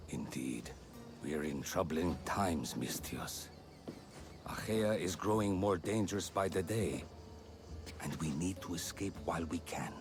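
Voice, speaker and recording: Serious cautious refugee leader in a game, really deep voice, formal, music under it. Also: male voice, lively intonation, unhurried. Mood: grave. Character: grave, cautious male refugee leader of ancient Greece. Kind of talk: video game cutscene acting.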